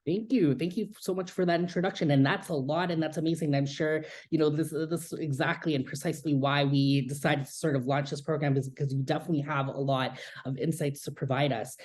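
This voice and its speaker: A woman's voice: low-pitched, steady tone, brisk, few pauses, darkish tone. A woman hosting an interview, introducing her guest and asking friendly, polished questions. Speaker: host of the program.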